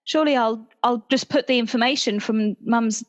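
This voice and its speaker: Woman acting secret agent, high voice, slightly muffled lo-fi sound, polite, dry, understated, spy roleplay. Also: normal speed, close to an everyday voice. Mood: polite, unruffled.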